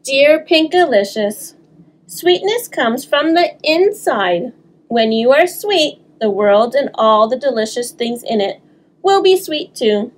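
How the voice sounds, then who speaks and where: A woman voicing a gentle, wise tooth fairy from a storybook, giving advice in a deliberate way. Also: sits high, expressive. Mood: kindly, instructive.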